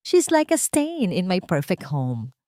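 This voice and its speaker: A woman's voice: on the low side, huge pitch swings, a little slow, warm tone, no echo, clean studio sound. A woman storyteller voicing a cold, disdainful, wealthy daughter-in-law with a clipped, snobbish manner. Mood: annoyed, scornful.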